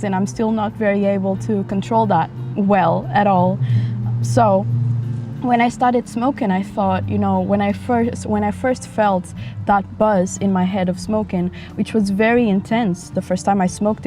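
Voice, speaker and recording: A young woman from Portugal talks frankly and at length in a casual vlog, her mellow voice a little raspy from a dry throat. She is recording outdoors beside a highway, with motorcycles passing and faint music behind her. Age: 20s. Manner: chatty, rambling, frank. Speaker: vlogger. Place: outdoors near a busy highway. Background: passing motorcycles and traffic.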